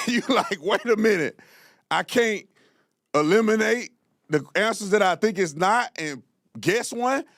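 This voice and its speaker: Animated mocking male commentary host. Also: high voice, measured pace, no echo, studio quality. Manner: mocking, exclaims loudly, slangy.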